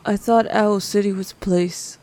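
A woman's voice: medium pitch, fairly even intonation, moderate pace, mellow. A woman playing an innocent plant creature.

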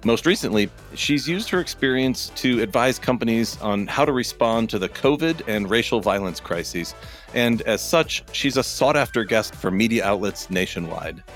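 A man hosting a podcast, reading a measured, formal introduction and asking interview questions. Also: medium pitch, lively intonation, a bit bright, soft background music. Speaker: podcast host.

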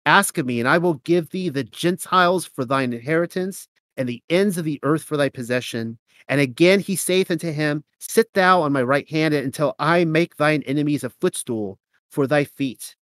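A man with a fairly high voice reads old scripture verses steadily and then drifts into loose, casual commentary full of fillers. He has a cold, so his voice comes out a little nasal. The recording is close and dry, with no room sound. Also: moderate pace, a bit bright, no room sound. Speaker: host of a scripture reading show.

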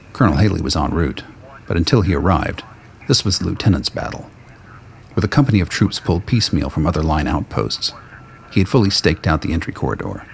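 A man reading scripted dramatic narration for a radio play in a measured, grave storytelling manner. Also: very deep voice, gravelly. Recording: faint background noise.

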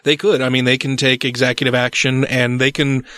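Man, medium pitch, podcast panelist, casual discussion, dry close recording. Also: level tone, a little fast, a bit bright, no room sound.

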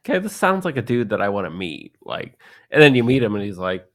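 A man's voice: fairly low pitch, big pitch swings, quick pace, fairly bright tone. A man in his thirties co-hosting a casual podcast chat, rambling and joking, often acting out the people he quotes. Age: 30s. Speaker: podcast co-host.

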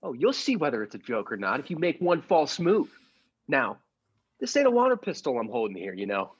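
A husky radio-play clerk, acted by a man, meek at heart but prone to gangster swagger. His pitch swings freely, from stammering husband to clipped tough guy. The sound is a little dull. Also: sing-song, brisk. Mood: menacing swagger. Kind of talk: improv podcast performance of classic radio comedy play.

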